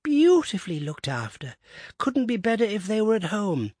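A man's voice: sits high, huge pitch swings, normal speed, a little mellow, husky, no room sound, clean studio sound, pitched above the performer's own voice, exaggerated voice. A man voicing a feeble, talkative old man with a thin, piping, quavering voice. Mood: chattering.

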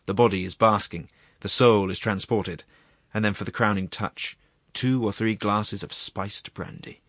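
A man's voice: lowish voice, fairly even intonation, measured pace, a bit bright, dry recording, clean studio sound. A man voicing a wheedling, gluttonous court secretary in a storybook reading, savouring his words in a confiding, coaxing way. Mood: rapturous.

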